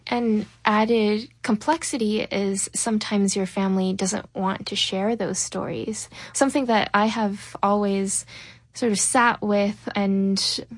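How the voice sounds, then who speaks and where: A woman novelist answering interview questions in a reflective, measured way. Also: medium pitch, fairly even intonation, no echo. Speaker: novelist guest.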